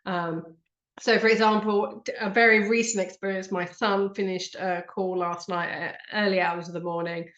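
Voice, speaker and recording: A woman's voice: medium pitch, fairly even intonation, moderate pace, a bit bright, roomy sound, muffled recording. A woman hosting an online talk, congested with a blocked nose, chatty and earnest with frequent ums. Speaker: host. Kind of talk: live online talk with slides.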